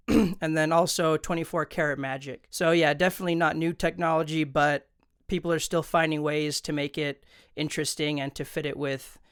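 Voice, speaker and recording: A man's voice: on the high side, fairly even intonation, moderate pace, fairly bright tone, clean tone, no echo. A man hosting an instructional video, explaining things in an informal, conversational way into a close studio microphone. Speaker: tutorial host. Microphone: close studio condenser microphone.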